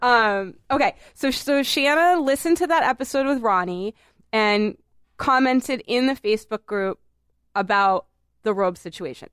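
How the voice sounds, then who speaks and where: A woman hosting a podcast in casual chat, animated and exaggerated, laughing often, on a dry studio mic. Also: on the high side, smooth, no echo. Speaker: podcast host.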